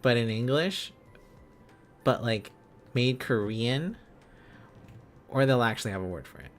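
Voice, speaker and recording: A man's voice: medium pitch, expressive, a little slow. A man streaming a casual lesson, musing aloud and repeating phrases and syllables as he works out letters and words. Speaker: streamer learning Korean script on a live stream.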